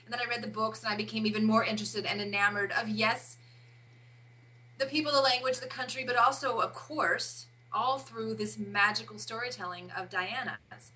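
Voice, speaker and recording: A woman in her forties, an interview guest, talking haltingly and often pausing to search for words. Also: a little high, fairly even intonation, pushed and bright, low-quality recording. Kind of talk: casual interview chat.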